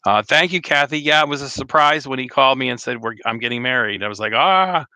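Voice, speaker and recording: A slightly hoarse man chatting casually on a live stream, rambling with many fillers and restarts. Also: medium pitch, quick pace, pushed and bright, no echo. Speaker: RV vlogger hosting a live chat.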